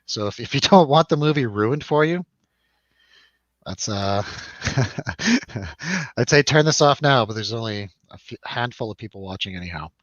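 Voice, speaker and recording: A man's voice: medium pitch, expressive, moderate pace, fairly bright tone, no room sound. Jokey man hosting, slightly dull sound. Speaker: host of a movie review show. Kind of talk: casual live radio-show banter.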